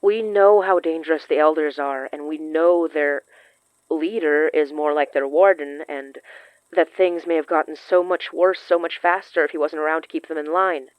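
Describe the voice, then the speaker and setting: A woman acting in an audio drama as a wary, thoughtful occult expert who explains things in an earnest, measured way. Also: low-pitched, fairly even intonation, no echo, dull audio, lightly acted. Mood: earnest, cautious.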